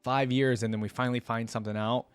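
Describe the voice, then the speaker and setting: A man's voice: mid-range voice, level tone, quick pace, smooth, dry recording. A man giving casual, chatty reaction commentary as the host of a video. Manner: informal, chatty. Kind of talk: casual reaction commentary and story reading aloud.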